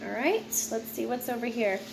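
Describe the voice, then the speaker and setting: A woman's voice: fairly high pitch, animated, normal speed, vocal fry, slight background noise, poor audio. A woman reading a nonfiction book aloud, informally, with casual asides and stumbles she corrects herself. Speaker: read-aloud presenter.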